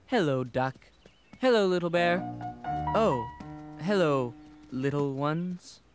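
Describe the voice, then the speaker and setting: A man's voice: on the high side, animated, takes it slowly, over music. A man voicing a stately, indignant owl in a children's cartoon, with a formal, dignified manner.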